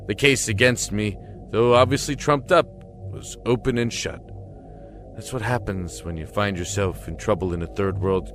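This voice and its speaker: A man narrating a scripted first-person dramatic story with deliberate, somber phrasing. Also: on the low side. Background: music.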